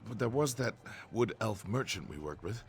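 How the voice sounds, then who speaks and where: A man voicing a deep-voiced nervous merchant. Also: lively intonation, normal speed, lightly acted. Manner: earnest, nervous, formal. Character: anxious male merchant clerk, earnest, proper. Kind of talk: game dialogue, AI voiced. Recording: faint game music behind.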